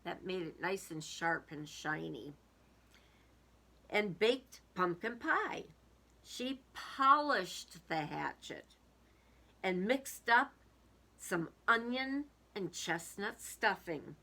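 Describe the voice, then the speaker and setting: A woman's voice: fairly low pitch, expressive, takes it slowly, gravelly, bright, forceful tone. A woman reading a storybook aloud to young children, expressively, with casual musing commentary.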